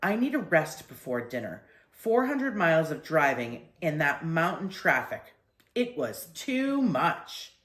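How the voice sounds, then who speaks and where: A woman's voice: low voice, big pitch swings, a little slow, fairly bright tone, reverberant, heavily acted. A woman reading a storybook and voicing a tired, stubborn father who argues firmly. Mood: weary. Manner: firm, argumentative.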